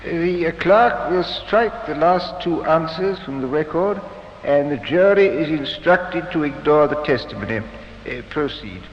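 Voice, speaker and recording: An actor playing a dry, deliberate presiding judge with halting, formal delivery in a 1950s radio drama. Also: male voice, fairly high pitch, expressive. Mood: formal, unhurried. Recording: muffled, noisy, reverberant old radio recording.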